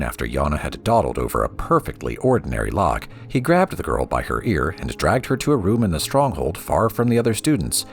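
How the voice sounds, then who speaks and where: Deep-voiced man, dramatic storybook narrator. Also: gravelly. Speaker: lore reader for a gaming let's play.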